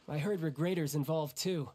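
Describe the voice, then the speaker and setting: Cocky young warrior. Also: male voice, a little high, measured pace, warm tone. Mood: casual, wry. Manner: breezy, teasing, casual. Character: cocky battle-hungry young male warrior, cheerful schemer. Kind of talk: video game voice acting.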